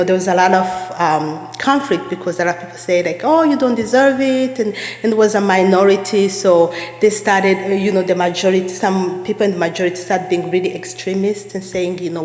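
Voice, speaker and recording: A woman's voice: fairly low pitch, darkish tone. A woman from Rwanda giving an earnest sermon as a guest speaker, repeating phrases for emphasis. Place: church sanctuary. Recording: echoey church hall.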